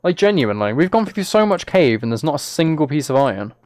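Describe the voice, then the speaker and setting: A man's voice: a little high, very animated, quick pace, darkish tone, no room sound, clean studio sound. A British man chatting casually on a game livestream, talking to viewers in a mock-exasperated, self-deprecating way. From United Kingdom. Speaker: live streamer.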